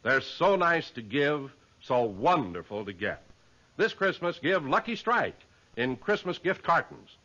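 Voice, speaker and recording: Bright, animated American radio announcer man. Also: a little high, a little slow. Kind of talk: 1950s radio commercial announcing. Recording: dull vintage radio recording.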